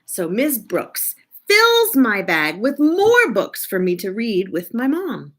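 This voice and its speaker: A woman reading a picture book aloud to children in an expressive, deliberate way, as a children's librarian. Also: fairly high pitch. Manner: animated, drawn-out, deliberate delivery.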